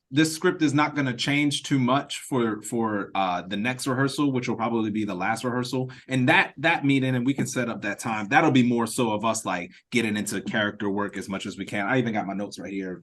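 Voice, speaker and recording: A man leading a script read-through on a video call, talking casually and haltingly as he thinks aloud and restarts his sentences. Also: medium pitch, fairly bright tone. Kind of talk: casual creative feedback discussion.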